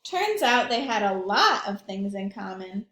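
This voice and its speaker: A woman's voice: mid-range voice, big pitch swings, unhurried, a bit bright, reverberant. A woman reading a picture book aloud to young children, expressively, adding simple asides as she goes. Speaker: early childhood read-aloud storyteller.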